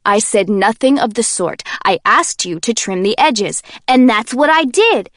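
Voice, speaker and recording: A woman's voice: on the high side, animated, measured pace, fairly bright tone, dry recording, studio quality. A woman voicing a cold, composed young man who speaks in an icy, clipped, disdainful way.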